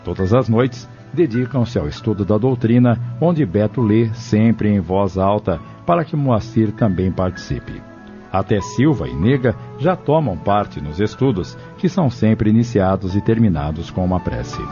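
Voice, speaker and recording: A dull recording with soft music behind a Brazilian man narrating an audio novel. His voice is dark and lowish, and he tells the story in a formal, literary way. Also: animated, a little slow.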